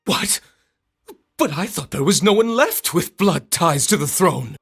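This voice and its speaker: A performer voicing a vain, deluded, lovestruck royal usurper in a video game, husky and grandiose in delivery. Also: mid-range voice, animated, measured pace. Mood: alarmed, scheming. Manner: melodramatic, grandiose. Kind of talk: video game villain acting. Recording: background music under the voice.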